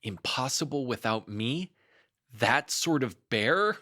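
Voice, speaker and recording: Man playing a humble, earnest bear, lively pitch. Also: slow pace, no echo, studio quality. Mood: proud. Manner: simple, earnest. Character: slow-witted, humble, earnest toy bear.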